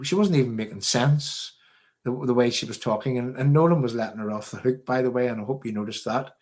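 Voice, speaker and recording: A man's voice: medium pitch, a little mellow. Opinionated Northern Irish man hosting a livestream. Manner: opinionated, repeats phrases for emphasis. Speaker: host of a political livestream. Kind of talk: live political commentary, off the cuff.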